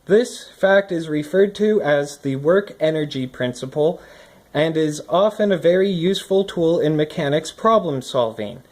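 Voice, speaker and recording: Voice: mid-range voice, a little mellow. A person reading a scripted lesson aloud, explaining it with think-aloud asides, recorded indoors on a phone at a desk. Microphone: phone recording from above the desk.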